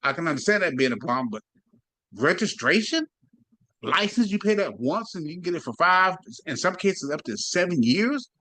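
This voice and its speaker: A man's voice: high voice, sing-song, moderate pace, pushed and bright, dry recording. Laid-back rambling man with a dry sound. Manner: rambling, laughs often, slangy. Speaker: commentary channel host. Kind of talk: casual commentary.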